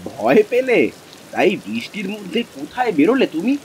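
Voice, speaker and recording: A man's voice: high voice, big pitch swings, measured pace, a little mellow, slight background noise, exaggerated voice. Man playing an urgent, pleading village fisherman. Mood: teasing. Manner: urgent, rustic colloquial speech. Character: worried, pleading village fisherman, farmer's younger friend. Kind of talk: cartoon voice acting.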